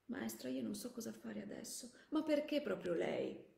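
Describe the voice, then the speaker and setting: A woman's voice: on the high side, very animated, fairly quick, warm tone, pitched above the performer's own voice. A woman voicing a desperate, tearful young male soldier in a story, pleading and agitated. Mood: tearful, bewildered. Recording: echoey room.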